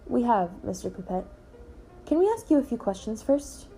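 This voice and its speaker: A woman's voice: on the high side, sing-song, normal speed, dark tone, soft background music. A woman playing a level-headed, matter-of-fact investigator who keeps firm order in a puppet-show murder mystery.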